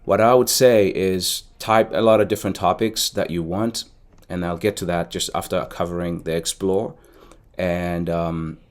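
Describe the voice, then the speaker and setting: Deep-voiced man with a blended South African accent. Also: normal speed. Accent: South African mixed with American. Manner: rambling and relaxed, with frequent ums and restarts. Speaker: sports fan and educator giving a tutorial. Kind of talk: casual screen-share tutorial.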